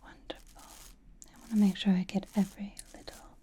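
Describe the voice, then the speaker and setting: A woman voicing a flirtatious, teasing, possessive vampire in a soft ASMR roleplay, whispering breathily close to the microphone. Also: medium pitch, little pitch movement, breathy edge. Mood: tender, flirtatious. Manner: slow, soothing, coy teasing.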